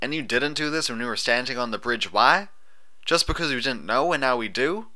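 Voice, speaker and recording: Man acting a female farm pony, feisty, folksy, defiant, higher pitched, sharp tone, storybook character. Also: lively intonation, brisk. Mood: indignant. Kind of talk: audiobook character voice.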